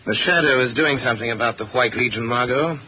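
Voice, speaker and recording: An American man playing a suave, shrewd gentleman sleuth in a 1930s radio drama, speaking in an urbane, knowing way. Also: mid-range voice, quick pace, slightly rough, close to an everyday voice. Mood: cool, knowing. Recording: muffled 1930s radio with faint noise.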